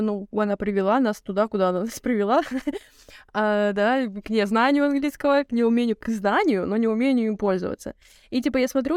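Young female podcast co-host, quick and filler-heavy, bright-pitched, recorded dry. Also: no echo. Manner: rapid, filler-heavy slangy talk. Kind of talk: casual chat.

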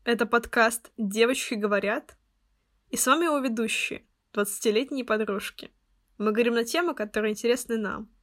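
A young woman in her twenties hosts a podcast with her friends, with a fairly high voice. She talks quickly and playfully and slips into cheerful exaggeration. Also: fairly bright tone. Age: 20s. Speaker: podcast co-host. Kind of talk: casual podcast chat.

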